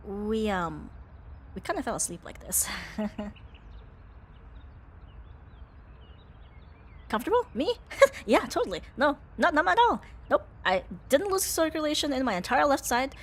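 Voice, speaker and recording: A woman's voice: sits high, big pitch swings, moderate pace. A woman playing a young tomboy roommate, affectionate but flustered, in an intimate ASMR romance roleplay with a hesitant, teasing delivery. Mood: flustered, sheepish. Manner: hesitant, teasing, breathy sighs and nervous laughs.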